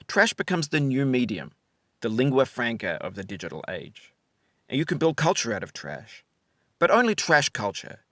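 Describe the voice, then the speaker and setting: A man giving reflective scripted narration as a game developer, in a measured, articulate, philosophical manner. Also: mid-range voice, a bit bright, no echo, studio quality.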